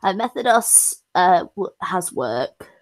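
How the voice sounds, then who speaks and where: A woman with a voice worn hoarse talks to her stream in a low, gravelly register. She moves slowly and haltingly, slipping into whispers as she sorts things out. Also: sing-song. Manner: halting, whispery asides, laughs often. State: hoarse, has lost her voice. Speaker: streamer hosting a supporter stream. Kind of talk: casual chat.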